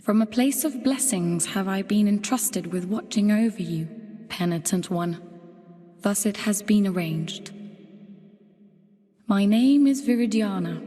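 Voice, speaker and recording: An actress playing a gentle, devout guardian in a fantasy game, speaking formally and serenely. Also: female voice, mid-range voice, lightly acted. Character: gentle, devout female guardian spirit offering help. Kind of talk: scripted fantasy game dialogue. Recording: reverberant, music behind. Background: music.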